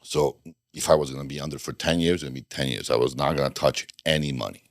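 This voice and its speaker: A man's voice: a bass voice, fast, no room sound. A middle-aged man from Bosnia, a guest telling his story in a casual interview, rambling and restarting sentences with dry jokes. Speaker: podcast guest, former undercover FBI agent.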